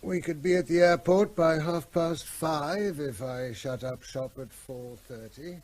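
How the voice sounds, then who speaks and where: Male actor as middle-aged country vet, mild, worried, hesitant, fairly high voice, naturalistic radio drama. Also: unhurried, darkish tone, close to an everyday voice. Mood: mild, evasive.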